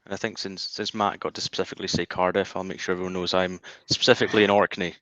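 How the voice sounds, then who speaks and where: A man's voice: sits low, level tone, fast, sharp tone, no echo. A man giving an informal product presentation from an office, heard over slightly dull, lo-fi call audio. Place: indoor office.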